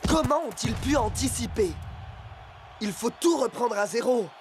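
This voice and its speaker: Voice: medium pitch, animated, a little slow, close to an everyday voice. Casting: an actor as young male cartoon footballer, eager, urgent, earnest, faint music behind. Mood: alarmed. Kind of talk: French-dubbed cartoon acting.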